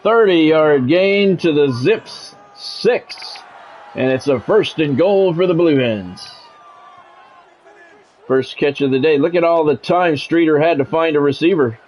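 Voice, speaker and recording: A man's voice: a little high, slow pace, darkish tone. A middle-aged American man doing play-by-play of a football video game on a livestream, mixing polished, clipped announcer calls with casual chat to viewers.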